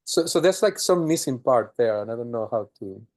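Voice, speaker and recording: A man questioning a lecturer in class, halting and searching for words as he works through an idea. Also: mid-range voice, lively intonation, a little mellow, no room sound, clean studio sound. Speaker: student.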